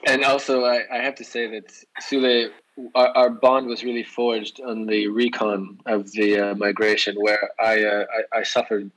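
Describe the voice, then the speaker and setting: Man, American accent, lower-pitched voice, casual chat, interview guest. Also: flat delivery, normal speed, a bit bright, poor audio. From United States. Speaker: interview guest, bike shop owner.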